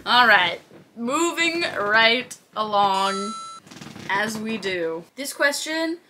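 Bright-voiced young woman, chatty. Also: a little high, expressive, drawn-out words, slight background noise, low-quality recording. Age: 20s. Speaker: fitness content creator. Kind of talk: casual chat, answering viewer questions to camera. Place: small plain indoor room, seated.

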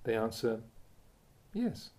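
A man reading a Buddhist dharma talk aloud as a monk, in measured, deliberate phrases with pauses. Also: medium pitch, big pitch swings, a little mellow.

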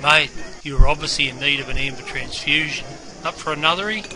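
A man's voice: on the high side, a little fast, natural voice. Cheeky broad-Aussie barman, played by a man. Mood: cheeky, welcoming. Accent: broad Australian. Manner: jokey, teasing, heavy slang. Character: cheeky, matey Aussie barman teaching beer slang. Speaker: bartender guide. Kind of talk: interactive game character patter. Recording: busy crowd noise behind. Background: crowd chatter.

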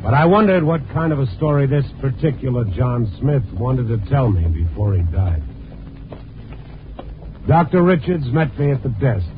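Male actor, wry sympathetic reporter, mid-pitched, measured narration, 1950s radio drama, muffled recording. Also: lively intonation, faint music behind, lightly acted. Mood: wry, reflective. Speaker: lead and narrator.

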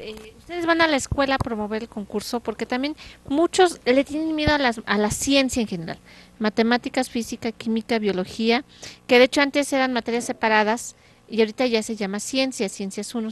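A woman in her thirties hosts a studio interview, speaking into a handheld mic on a small television set. Her voice sits a bit high, and she talks in a chatty, rambling way, repeating and restarting her phrases as she goes. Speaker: TV show host.

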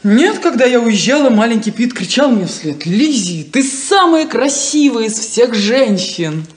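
An actress playing a proud, insecure young daughter in a 1970s Soviet radio play, with a theatrical, defensive, wounded delivery. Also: female voice, fairly high pitch, big pitch swings, a little slow. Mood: wry hurt. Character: proud, insecure, plain-spoken unmarried daughter. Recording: roomy 1970s radio drama recording.

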